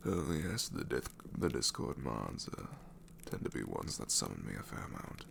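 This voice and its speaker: A man voicing a sassy male shadow demon in an ASMR roleplay, snarky and rambling with soft whispered asides. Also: very deep voice, sing-song, a little slow, gravelly, slight background noise, heavily acted.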